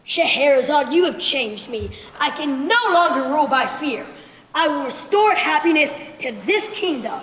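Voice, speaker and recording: A woman's voice: sits high, animated, normal speed, fairly bright tone. A young teenage girl playing a proud, brooding sultan in a school play, with formal, commanding delivery. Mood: softened, resolute. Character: proud, brooding, imperious sultan who softens. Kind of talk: school stage play acting. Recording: echoey hall, faintly noisy stage recording.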